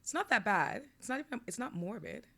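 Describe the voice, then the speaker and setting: A woman's voice: fairly high pitch, lively intonation, brisk, gravelly, bright, forceful tone, slightly rough. A woman hosting a podcast, talking casually with her cousin and laughing often. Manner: chatty, laughs often, self-deprecating. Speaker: podcast host.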